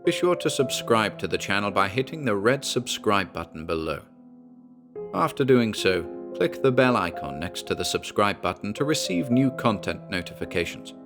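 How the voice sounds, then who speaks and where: A man's voice: lowish voice, very animated, moderate pace, music in the background. A man speaking as a channel host, reading a scripted closing announcement.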